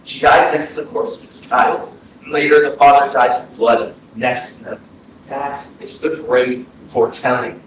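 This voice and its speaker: A man's voice: mid-range voice, fairly even intonation, moderate pace, natural voice. A man acting a grieving, guilt-ridden brother, speaking earnest, poetic lines in a staged play reading. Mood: mournful. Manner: earnest, declamatory. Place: small arts-centre stage, distant mic. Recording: very echoey stage, distant mic, noisy.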